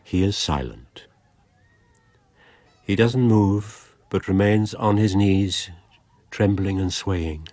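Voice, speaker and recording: An actor playing a solemn storyteller, narrating a literary radio drama in a measured manner. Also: male voice, low-pitched, a little mellow, dry recording. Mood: solemn, hushed.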